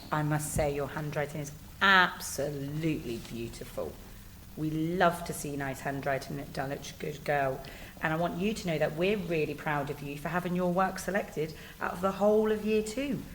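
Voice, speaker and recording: Lady teacher chatting to a young pupil, full of praise, voice a bit low, slight background noise. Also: normal speed, poor audio. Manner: effusive, praising, gently prompting. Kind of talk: encouraging interview with a child.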